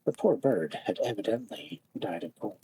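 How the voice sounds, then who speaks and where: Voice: deep voice, lively intonation, normal speed, warm tone, breathy edge, poor audio. A storyteller narrating a fairy tale expressively, with dramatic, lingering phrasing.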